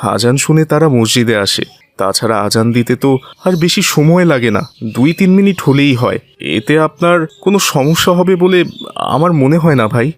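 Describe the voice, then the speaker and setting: A man's voice: mid-range voice, expressive, moderate pace, natural voice. Man acting polite, pleading cartoon imam. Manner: pleading, reasoning. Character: devout, wronged imam, a father, polite but firm. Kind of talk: dubbed cartoon dialogue.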